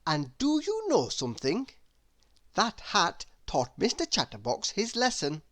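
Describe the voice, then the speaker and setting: A woman narrating a children's story aloud in an expressive storytelling style. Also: mid-range voice, unhurried.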